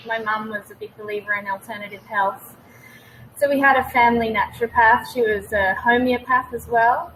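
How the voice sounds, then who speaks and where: A woman's voice: on the high side, fairly bright tone, slight background noise, poor audio. An Australian-accented woman in her thirties, a guest telling her own story casually and a little hesitantly over a video call. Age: 30s. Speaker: interview guest, naturopath.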